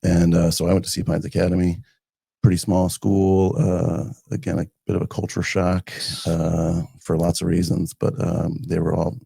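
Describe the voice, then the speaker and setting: A man's voice: low voice, monotone, normal speed, creaky, mellow, slightly rough, no room sound. A man in his fifties from northern New Jersey, a guest telling his life story in a loose, rambling way full of fillers and restarts. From northern New Jersey, United States. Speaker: guest, community foundation director. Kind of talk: casual conversational storytelling.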